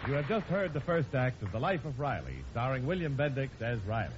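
A man announcing a vintage American radio show and delivering its sponsor pitch. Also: big pitch swings, brisk, heavy background noise. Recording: muffled old radio recording.

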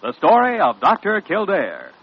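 A man's voice: high voice, wildly swooping pitch, normal speed, vocal fry, husky, dry recording. A man announcing an old-time radio drama in a grand, emphatic promotional style. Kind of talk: 1940s-50s radio announcing. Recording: muffled vintage radio recording, music behind.

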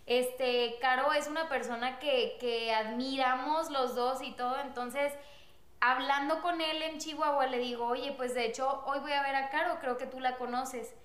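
High-voiced woman telling a story. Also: measured pace, roomy sound. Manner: animated, laughs often, acts out quotes. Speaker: guest telling their love story. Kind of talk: casual storytelling.

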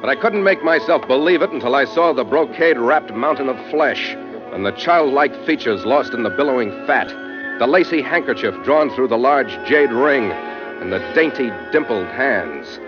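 Actor as hard-boiled sea captain, music behind. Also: male voice, medium pitch, lively intonation, normal speed, lightly acted. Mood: wry storytelling. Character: tough, wry seafaring captain narrating his log. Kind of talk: 1940s radio drama narration. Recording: muffled old radio recording.

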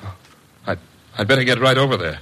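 A man's voice: medium pitch, fast talker, fairly bright tone, close to an everyday voice. An actor playing a nervous, flustered well-to-do club man in a classic radio drama, often hesitating. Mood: shaken. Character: anxious, flustered, well-to-do male club member.

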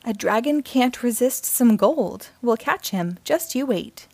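Woman reading as an eager, high-voiced young dragon hunter. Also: animated, a little slow, a little mellow, dry recording. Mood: determined. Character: eager, determined child trying to catch a dragon.

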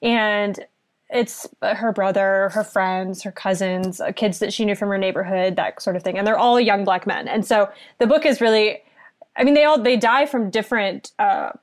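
A woman's voice: mid-range voice, steady tone, moderate pace. Earnest young woman from Virginia. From southeastern Virginia, US. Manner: earnest, thoughtful, rambling with restarts. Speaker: book recommender. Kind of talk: casual chat.

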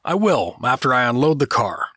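A man's voice: medium pitch, big pitch swings, normal speed, bright, forceful tone, slightly rough, dry recording, studio quality, heavily acted. A man playing a well-meaning but clueless dad turned adventurer in a fantasy audio drama, hesitant and apologetic. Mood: friendly.

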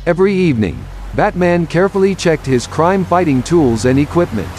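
Male narrator for scripted superhero audio story; somewhat high register over a music bed. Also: lively intonation, brisk, darkish tone.

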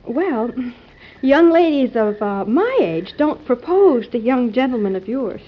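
High-voiced, dreamy young radio-drama daughter. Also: female voice, expressive, unhurried. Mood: teasing. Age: young adult. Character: sweet, dreamy, playful young society daughter. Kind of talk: classic American radio drama acting. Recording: muffled old radio recording.

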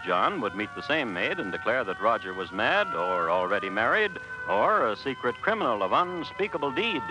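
A man hosting and narrating a scripted old radio crime story in a wry, measured storytelling manner. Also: mid-range voice, poor audio. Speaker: host narrator.

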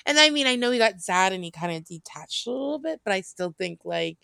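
A woman's voice: medium pitch, expressive, fairly quick, gravelly, sharp tone, no echo. An American woman chatting casually and candidly, in an informal, rambling way with self-interruptions and some swearing. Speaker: podcast co-host.

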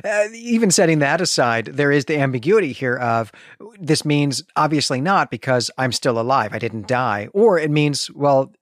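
A man's voice: medium pitch, expressive, normal speed, no room sound. A man co-hosting a podcast, talking through books conversationally in a methodical, explanatory way, close to the microphone. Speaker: podcast co-host. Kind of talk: conversational literary discussion.

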